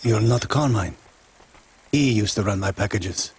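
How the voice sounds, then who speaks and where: An actor playing a menacing, philosophical male crime boss in a video game, speaking in terse, measured lines with quiet threat. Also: fairly low pitch, a little hiss or hum, lightly acted. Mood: quietly threatening.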